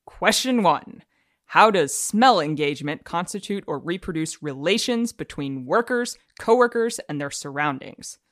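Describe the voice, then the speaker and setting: A woman with a slightly low voice reads academic prose aloud as a podcast guest. Her delivery is formal and measured, a dramatic reading kept straight-faced. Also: expressive.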